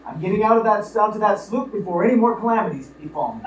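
A woman's voice: medium pitch, fast, natural voice. A woman acting an exasperated, wisecracking young man in a theatrical live radio play. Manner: theatrical, protesting. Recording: roomy, distant, faintly noisy stage recording.

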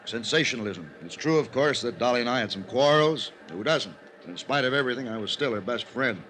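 An actor playing an anxious, insistent older business manager in an old-time radio drama, speaking earnestly and urgently. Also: male voice, mid-range voice, animated, a little fast, a bit bright, natural voice. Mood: anxious, ingratiating. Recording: noisy old radio recording.

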